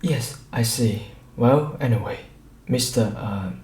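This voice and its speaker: A man's voice: medium pitch, slow pace, a little mellow, roomy sound, lightly acted. A man voicing a polite, reserved London doctor in an audiobook, courteous and a little hesitant. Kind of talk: audiobook character dialogue.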